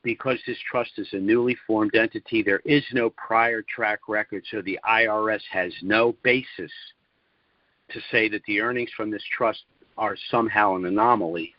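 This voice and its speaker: A man giving a sales presentation on a conference call, speaking in deliberate, measured phrases with emphatic pauses. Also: lowish voice, steady tone, dry recording. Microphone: conference call line. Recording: dull, lo-fi conference call audio.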